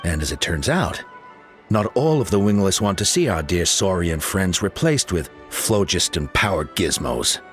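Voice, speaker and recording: An actor playing a calm, reassuring male mentor and leader in a video game. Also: sits low, normal speed. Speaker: game character. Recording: game audio with soft music.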